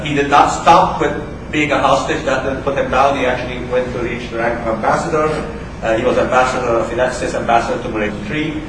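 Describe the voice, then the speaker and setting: A man whose first language is Persian, introducing a guest speaker at a lecture in an unscripted way, halting, with many fillers and restarts. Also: medium pitch, noisy background. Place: large lecture hall. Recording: echoey hall, mic far off.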